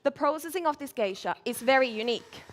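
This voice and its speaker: A woman from Finland, giving a measured competition presentation as a barista at a live event. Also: sits high, lively intonation. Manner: measured, clear presenting while working.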